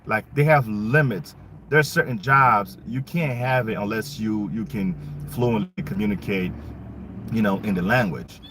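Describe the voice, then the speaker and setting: A man's voice: lowish voice, normal speed, background noise. A young man from Congo giving a casual, emphatic opinion monologue full of rhetorical questions, his not English. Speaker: vlogger.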